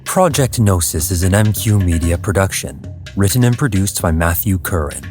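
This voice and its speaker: A man's voice: sits low, expressive, normal speed, darkish tone, faint music behind. A man reading scripted closing credits as an announcer.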